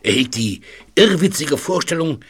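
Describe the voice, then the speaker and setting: A man in a radio play acting a gentle, eccentric animal lover, speaking softly, breathily and in formal, deliberate phrases. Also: on the high side, lively intonation, breathy edge. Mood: feigned indignation.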